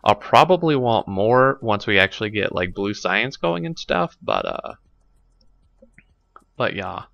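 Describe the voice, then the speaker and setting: A man's voice: lowish voice, sing-song, a little slow, creaky, a bit bright, no room sound, highs cut off. A man with a stuffy nose commenting casually on his gameplay, thinking aloud with lots of fillers, recorded on a desk microphone at home. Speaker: let's play host.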